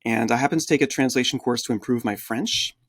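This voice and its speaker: A middle-aged man raised in the United States, a podcast guest telling his life story in an animated, chatty way with frequent fillers. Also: mid-range voice, quick pace, husky, no room sound, studio quality. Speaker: guest, translation agency founder. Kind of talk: conversational podcast interview.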